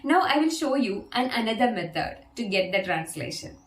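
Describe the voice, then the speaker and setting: A woman's voice: fairly high pitch, very animated, moderate pace, echoey room. A woman whose first language is Malayalam, teaching an English lesson and patiently demonstrating an app step by step. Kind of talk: video lesson.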